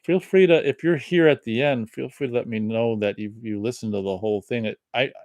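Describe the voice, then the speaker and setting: A man in his thirties giving a rambling, reflective solo movie commentary, his voice a little raspy from long talking. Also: mid-range voice, fairly quick, a little mellow, no echo. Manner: rambling, reflective, many restarts.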